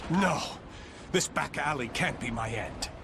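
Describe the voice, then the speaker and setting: A man's voice: mid-range voice, animated, measured pace, noisy background. An actor playing a proud, desperate male noble swordsman in a game cutscene, speaking in a haughty, aristocratic way. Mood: bitter.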